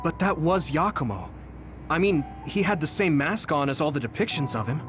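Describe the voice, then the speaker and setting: An actor playing an earnest, thoughtful young squad member in an anime-style video game. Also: male voice, on the high side, sing-song, moderate pace, music in the background.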